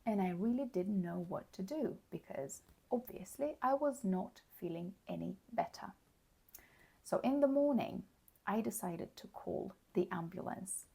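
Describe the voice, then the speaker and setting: A hoarse woman giving a slow, clear English lesson, explaining words plainly and checking in with the listener. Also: mid-range voice, expressive, mellow. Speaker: English teacher. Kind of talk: slow, clear teaching monologue.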